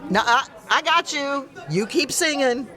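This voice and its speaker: An actor playing an excitable, friendly publican, breezy and exclamatory, in an audio drama. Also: male voice, extremely high pitch, measured pace, soft background music, a faint crowd, full character voice. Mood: apologetic, friendly. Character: chatty, excitable, friendly male space publican.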